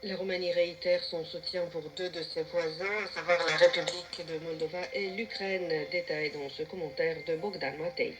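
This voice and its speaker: A man's voice: fairly high pitch, fairly even intonation, moderate pace, few pauses, over loud music. A man with a Romanian accent reading a news commentary with uneven emphasis and brief hesitations. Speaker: radio commentator. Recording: shortwave radio with hiss.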